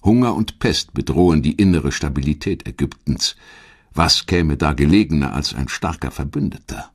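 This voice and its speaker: A man reading a scripted audio documentary in a measured, dramatic storytelling manner, with a husky voice. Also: extremely low pitch, lively intonation. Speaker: documentary narrator. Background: background music.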